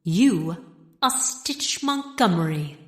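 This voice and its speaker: A woman playing a menacing, silky gang leader who makes deliberate, mock-sweet threats in a comic audio drama. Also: fairly low pitch, sing-song, roomy sound.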